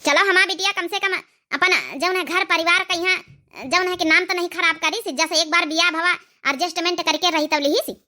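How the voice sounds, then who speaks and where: Cartoon village mother , played by a woman: middle-aged, Awadhi accent, very high bright voice, approving and moralizing. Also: moderate pace, full character voice. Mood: resigned. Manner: moralizing, repetitive. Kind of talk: cartoon family drama dialogue.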